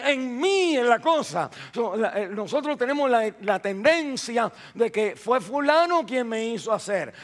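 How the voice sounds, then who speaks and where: High-voiced pastor preaching with rising bursts. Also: male voice, fairly bright tone. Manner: preaching with rising bursts of emphasis, frequent repetitions and restarts. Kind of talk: sermon. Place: church sanctuary.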